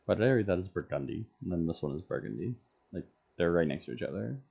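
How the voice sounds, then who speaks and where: A man's voice: deep voice, lively intonation, fast talker, gravelly, mellow, slightly rough, muffled recording. A man livestreaming a game, casually thinking aloud in short, absent-minded fragments as he plays. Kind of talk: casual chat while playing, muttering to self.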